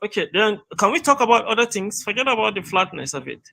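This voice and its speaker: A man with a high-pitched voice, a guest on a livestream chat who protests defensively. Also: sing-song, quick pace, vocal fry, pushed and bright.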